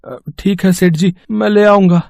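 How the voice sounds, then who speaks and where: A man's voice: high-pitched, steady tone, moderate pace, vocal fry, a little mellow, dry recording, clean studio sound. A man voicing a poor village labourer, a caring husband and father, earnest and fretful, in a moral-story cartoon. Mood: humble.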